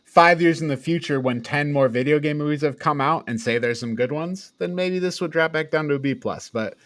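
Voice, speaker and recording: A man's voice: fairly high pitch, brisk, fairly bright tone, no room sound. A man co-hosting a casual film review chat, informal and given to swearing and laughing. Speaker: podcast co-host.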